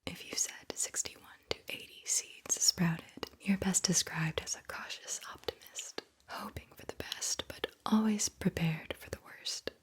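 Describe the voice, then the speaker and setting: A woman whispering slowly and soothingly as an ASMR host, guiding the listener deliberately and repeating words. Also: on the low side. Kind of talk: whispered ASMR guided visualization.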